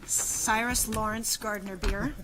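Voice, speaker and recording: A woman chairing a city commission meeting, reading an agenda item in a quiet, measured voice. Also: mid-range voice, fairly even intonation, noisy background. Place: city hall meeting room. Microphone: meeting table microphone.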